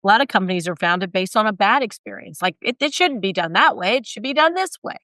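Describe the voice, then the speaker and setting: A woman guest with a raspy, hoarse voice, talking briskly and emphatically in an interview. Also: mid-range voice, animated, bright, forceful tone, no echo. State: hoarse, recovering from illness. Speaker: guest, sales consultant and author. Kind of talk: conversational interview.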